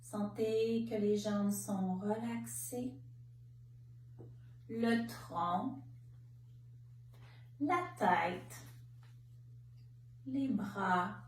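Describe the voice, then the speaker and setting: A woman leading a guided relaxation meditation as a yoga nidra instructor, speaking in calm, measured short phrases with pauses. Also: mid-range voice. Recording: roomy, distant mic, faint background noise.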